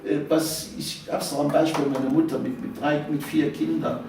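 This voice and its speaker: Echoey older man with creaky voice. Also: on the high side, steady tone, moderate pace, a little mellow, slight background noise. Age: 70s. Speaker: interview guest, author of local history books. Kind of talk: conversational reminiscence. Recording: echoey room, distant microphone.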